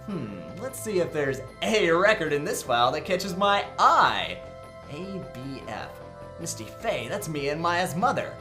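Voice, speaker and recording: Man playing a wisecracking, animated young attorney. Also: a little high, measured pace. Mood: musing. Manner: sarcastic, quippy. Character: wisecracking young defense attorney, earnest and incredulous. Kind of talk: visual novel game fandub acting. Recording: music behind the voice.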